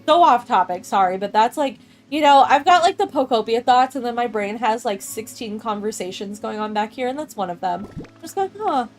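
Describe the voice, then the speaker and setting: A woman's voice: a little high, lively intonation, a little fast, faint music behind. Chatty young woman streamer. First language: English. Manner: chatty, rambling, laughs often, reads chat aloud. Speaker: gaming streamer. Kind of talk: casual chat with live chat while playing.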